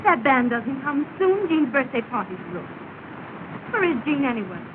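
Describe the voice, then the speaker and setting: An actress playing an anxious, fussy society hostess in an early 1930s film, fretting in a theatrical, exclamatory way. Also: female voice, high voice, big pitch swings, normal speed. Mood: distressed. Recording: scratchy, tinny 1930s film soundtrack.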